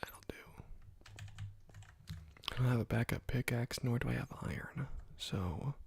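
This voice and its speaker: A young man talks softly and closely into the mic while playing a game, half-whispering in a laid-back, rambling way. His voice is heavily gravelly and breathy-husky, and the room sound is completely dry. He drifts through long stories padded with fillers. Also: medium pitch, unhurried, dry recording. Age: young adult. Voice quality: whispery and soft. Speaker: gaming ASMR channel host. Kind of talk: soft-spoken ASMR gameplay commentary. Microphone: close microphone.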